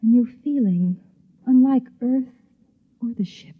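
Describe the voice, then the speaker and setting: Vulnerable young wife, intimate and emotional vintage radio drama delivery, thin phone-like recording. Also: female voice, fairly high pitch, unhurried, husky. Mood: dreamy, tender. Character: frightened, remorseful young wife narrating her own ordeal. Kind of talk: 1950s radio drama acting. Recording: tinny 1950s radio recording, music behind.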